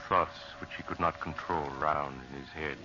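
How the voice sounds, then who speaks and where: A grave, ominous horror storyteller from old-time radio. His extremely low voice is intensely forceful and dramatic. The recording is muffled. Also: steady tone, exaggerated voice. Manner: dramatic, deliberate. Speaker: narrator. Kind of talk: 1940s radio horror narration.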